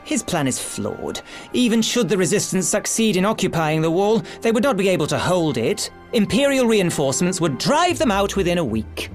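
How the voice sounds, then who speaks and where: An animated young adventurer argues in formal, pointed phrases. She is frank and skeptical in a fantasy game, with soft music behind. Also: on the low side, normal speed.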